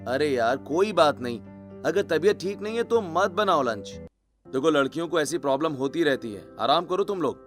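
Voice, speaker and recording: A man's voice: on the high side, animated, normal speed, soft background music, lightly acted. A man voicing a caring, easygoing young husband in cartoon story dialogue, casual and reassuring.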